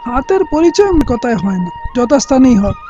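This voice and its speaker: A man playing a bossy, high-voiced zealous recruiter. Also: very animated, fairly quick, dark tone, soft background music. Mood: commanding, impatient. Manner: commanding, curt. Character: bossy, zealous male recruiter pressing villagers into service. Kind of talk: theatrical radio-play acting. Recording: muffled lo-fi audio-play recording.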